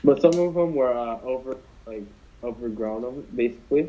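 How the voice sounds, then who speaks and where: A man's voice: mid-range voice, normal speed, mellow, slight background noise. A young man casually telling a story to friends on a podcast, rambling with many fillers and restarts. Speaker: podcast co-host. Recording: dull lo-fi podcast audio.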